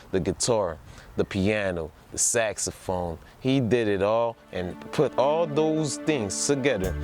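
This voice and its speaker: Low-voiced man over music. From New Orleans, United States. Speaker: presenter introducing a music production project. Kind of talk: casual informal talk to camera. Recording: music playing behind the voice.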